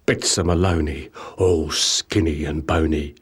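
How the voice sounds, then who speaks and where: Deep-voiced man slowly reading a storybook. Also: fairly even intonation, breathy edge. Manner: measured, rhythmic, theatrical storytelling. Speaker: audiobook narrator. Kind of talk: scripted children's storybook narration in rhyming verse.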